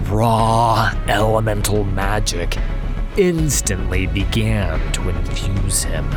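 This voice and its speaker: A man narrating a fantasy story as a scripted, dramatic audio drama. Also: medium pitch. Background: music.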